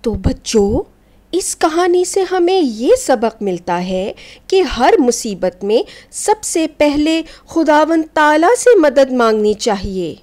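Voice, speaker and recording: A woman storyteller giving a scripted, expressive narration of a children's folk tale in an instructive way. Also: sits high. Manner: expressive, didactic, addressing children.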